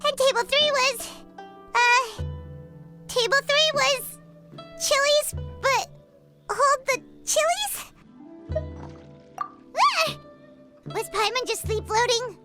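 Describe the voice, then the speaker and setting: A woman voicing a tiny, childlike, excitable floating fairy companion with a perky, cheeky manner in a video game. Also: very high-pitched, takes it slowly, pauses often, faint music behind, heavily acted. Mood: flustered. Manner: perky, exclaiming, refers to self in third person. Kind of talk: video game cutscene acting.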